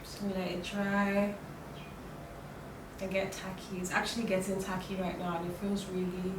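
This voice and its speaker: A young woman with a croaky voice from a cold, chatting casually as she walks through a makeup tutorial, with lots of fillers and restarts. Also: medium pitch, monotone, normal speed. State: hoarse from a cold. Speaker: beauty vlogger. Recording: roomy, distant, noisy home recording.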